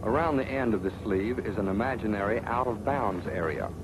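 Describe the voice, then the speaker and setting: A man's voice: on the low side, a little fast. A man narrating a military training film with a stern, scolding, instructive manner. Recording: old film soundtrack with hiss.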